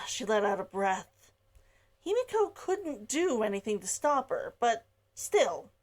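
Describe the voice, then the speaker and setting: A woman's voice: fairly high pitch, sing-song, takes it slowly. A woman reading her novel aloud chapter by chapter, giggling between lines in the intro. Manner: giggly, laughs between sentences. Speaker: read-along narrator. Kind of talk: casual intro and story reading.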